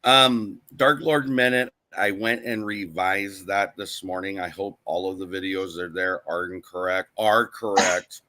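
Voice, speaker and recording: A man's voice: low voice, steady tone, measured pace, hardly any pauses, pushed and bright. A man co-hosting a casual live-stream chat, reading viewer comments aloud and answering them. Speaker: co-host of a live channel chat.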